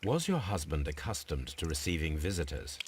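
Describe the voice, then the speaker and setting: Really really low detective in a game, polite, measured, probing, bit of background noise. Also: male voice, very animated, exaggerated voice. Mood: courteous, probing. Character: composed, probing, courteous gentleman detective. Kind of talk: scripted game dialogue.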